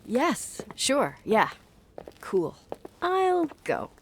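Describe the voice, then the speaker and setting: A woman's voice: sits high, wildly swooping pitch, very slow, slight background noise, exaggerated voice. A woman playing a wry, guarded character in a game, speaking in a hesitant, dryly sarcastic, naturalistic conversational way. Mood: shy, hesitant. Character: wry, guarded adult woman recalling her childhood.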